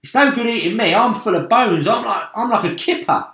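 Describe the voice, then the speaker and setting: Gloomy, fearful earthworm man performing, high plaintive wail, children's tale, roomy acoustic. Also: expressive, a little fast, a bit bright, distant mic. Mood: wailing dread. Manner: wailing, pleading. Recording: roomy echo.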